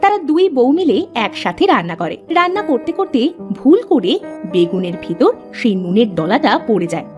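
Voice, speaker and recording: A woman narrates a scripted cartoon tale. Her voice is high and expressive, with clear music playing behind it. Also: moderate pace.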